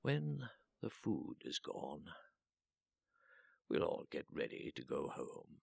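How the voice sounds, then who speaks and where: Very deep, slow-reading male narrator. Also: sing-song, gravelly, a little mellow, husky. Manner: deliberate, broken phrasing with long pauses. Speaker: narrator reading a translated story. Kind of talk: slow, solemn literary story reading.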